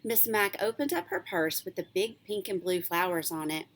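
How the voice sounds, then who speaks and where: A woman, a teacher reading a children's book aloud in an expressive, animated storytelling way. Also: on the low side, moderate pace, background noise.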